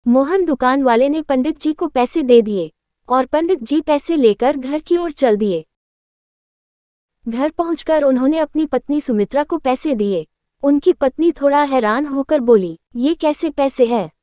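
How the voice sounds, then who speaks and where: High-pitched woman story narrator. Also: unhurried, mellow, no room sound, studio quality. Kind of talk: scripted children's story narration.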